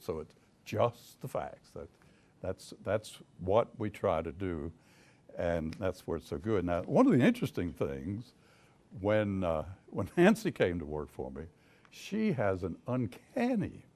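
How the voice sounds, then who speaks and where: An older man with a deep voice and a Southern US accent, a private investigator telling folksy stories to an audience, chuckling as he goes. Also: a little mellow. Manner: folksy storyteller, chuckles often, many restarts. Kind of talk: casual talk to an audience.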